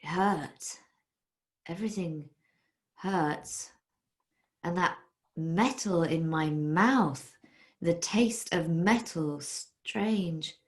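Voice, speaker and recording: An actress playing a beaten young woman election observer, speaking slowly in a detached, fragmented way while in pain. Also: medium pitch, lightly acted. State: dazed and in pain.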